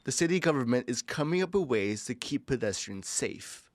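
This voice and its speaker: A man delivering a scripted news report in a lively, expressive way. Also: medium pitch, moderate pace, no echo.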